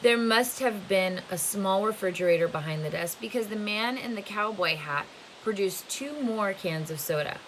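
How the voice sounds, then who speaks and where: A woman reading a novel aloud as a teacher would to students, with expressive storytelling narration. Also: mid-range voice, normal speed, low-quality recording. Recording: faint hiss behind the voice. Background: faint steady hiss.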